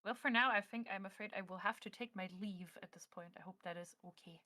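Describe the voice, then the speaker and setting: A woman guest on a livestream with a bright, forward voice and a quick pace. She chats casually and effusively, at a medium pitch for a woman. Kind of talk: casual chat.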